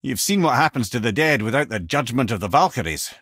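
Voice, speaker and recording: Wry old Scottish storyteller, animated delivery. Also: male voice, medium pitch, a little fast, husky, no echo, studio quality, heavily acted. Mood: solemn storytelling. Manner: wry, chatty, wordy storytelling. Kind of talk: video game character dialogue.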